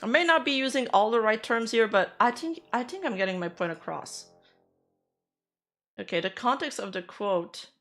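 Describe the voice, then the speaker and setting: A woman's voice: on the high side, lively intonation, moderate pace, a bit bright, faint music behind. Blunt, swearing woman streamer. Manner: blunt, sarcastic, swears freely. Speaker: VTuber streamer. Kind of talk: casual reaction commentary.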